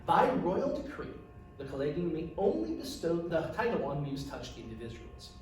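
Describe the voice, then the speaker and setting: A man's voice: a little high, big pitch swings, moderate pace, darkish tone. A man voicing a composed, diplomatic woman who heads a collegium in a storybook reading, courteous and firm. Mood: measured, firm. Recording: echoey room, distant mic, faint noise.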